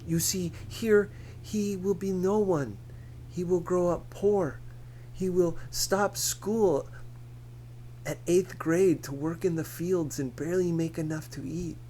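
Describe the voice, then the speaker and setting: Audiobook role: pleading, earnest aunt performed by a man, measured and persuasive, slight background noise. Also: high voice, steady tone, warm tone, voice pushed up, poor audio.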